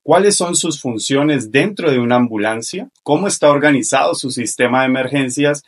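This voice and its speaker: A man's voice: mid-range voice, moderate pace, husky. A man hosting an interview podcast, addressing guest and audience in a structured, courteous way. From Colombia. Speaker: podcast host and paramedic.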